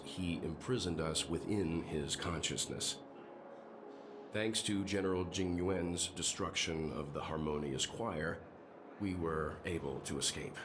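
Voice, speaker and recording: An actor playing a calm, caring, reserved mature man in a video game, understated as he explains things. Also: sits low.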